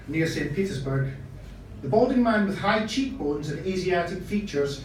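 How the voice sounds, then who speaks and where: A man reading a short story aloud at a live event, in a deliberate, theatrical storytelling manner. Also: high voice. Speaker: reader of short fiction at a live literary event. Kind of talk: dramatic scripted story reading. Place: live event in a social room. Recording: echoey room, distant mic, faint noise.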